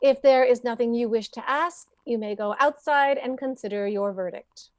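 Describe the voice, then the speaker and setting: A woman acting as a coroner with a fairly high voice, cool and authoritative. Her delivery is formal and measured, like an official in an old radio play, in a dry close sound. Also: no echo, lightly acted.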